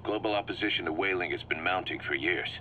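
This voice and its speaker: A man's voice: deep voice, fast talker, close to an everyday voice. A man playing a driven, shrewd mercenary commander in a video game, briefing his boss in an emphatic, assured way. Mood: matter-of-fact. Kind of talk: scripted video game dialogue, explaining plans. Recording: muffled cassette-like sound with background hum.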